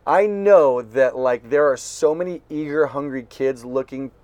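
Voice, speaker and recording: A man's voice: mid-range voice, a little slow, darkish tone, no room sound. A man hosting a podcast, chatting casually and enthusiastically, joking and cutting in on his guest. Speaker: podcast host. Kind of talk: casual interview chat.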